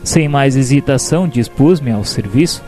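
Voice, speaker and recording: An earnest, humble male narrator voiced by an actor in a radio drama. His mid-range voice is mellow and gentle, with solemn, formal phrasing. Soft music runs underneath. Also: medium pitch, animated, normal speed, natural voice. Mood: solemn, reflective. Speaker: narrator and protagonist. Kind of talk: dramatized first-person scripted narration.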